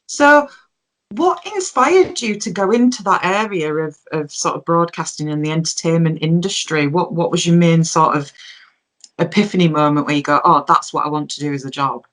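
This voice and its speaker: A woman's voice: deep voice, normal speed, a bit bright. A woman conducts a conversational interview. She phrases her questions loosely, hesitating and rambling a little as she goes.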